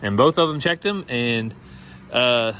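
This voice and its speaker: A man's voice: medium pitch, lively intonation, a little slow, bright, forceful tone. A man chatting casually as a farm vlogger, with a plain-spoken, folksy way of talking. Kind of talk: casual vlog chat. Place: outdoors on a farm. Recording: noisy handheld outdoor farm recording.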